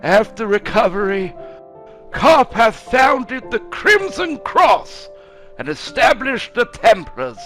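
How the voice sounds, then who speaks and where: A very high-voiced, solemn fantasy storyteller, acted out by a man. The delivery is slow and grave, declaiming old legends with dramatic swoops, over clearly heard music. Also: pushed and bright, exaggerated voice. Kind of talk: scripted fantasy story narration.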